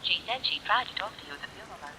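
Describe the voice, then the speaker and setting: A woman playing a brisk, polite, deferential secretary in a 1950s radio drama. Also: medium pitch, animated, bright, forceful tone. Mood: businesslike. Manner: businesslike, polite. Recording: faintly noisy vintage radio recording.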